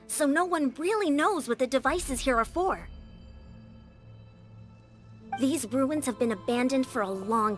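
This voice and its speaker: A woman's voice: sits high, a bit bright. A woman playing a spirited, confident young female warrior and sports coach in a video game, upbeat and self-assured. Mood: explaining. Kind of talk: English video game voice acting. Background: music.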